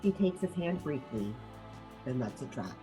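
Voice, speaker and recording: A woman reading stage directions as scripted narration at a table read, in an animated manner. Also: rumbling low, normal speed, music in the background.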